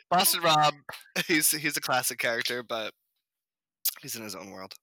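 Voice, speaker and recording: A young man from Massachusetts co-hosting a podcast, laid-back and quick to laugh. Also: medium pitch, big pitch swings, pushed and bright, no echo. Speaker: podcast co-host. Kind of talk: casual chat.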